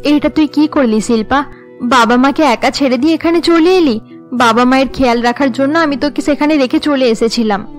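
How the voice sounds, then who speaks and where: A woman's voice: sits high, a little fast, soft background music, close to an everyday voice. A woman voicing a dutiful, earnest young elder daughter in a cartoon. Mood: reproachful. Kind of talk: Bengali cartoon dialogue.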